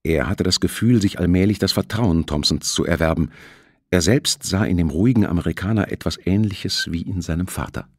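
A man's voice: sits low, a little fast, breathy edge, dry recording, studio quality. A man narrating a thriller audiobook in a scripted, storytelling style.

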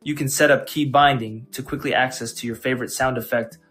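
A man hosting a tech channel, narrating a scripted software tutorial. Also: mid-range voice, fairly even intonation, brisk. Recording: music behind.

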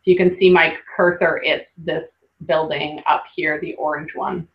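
A woman's voice: deep voice, steady tone, moderate pace, dull audio. Bubbly woman presenting slides on a call. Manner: bubbly, lots of "like" and laughs. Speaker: workshop host presenting slides. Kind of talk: casual webinar presentation.

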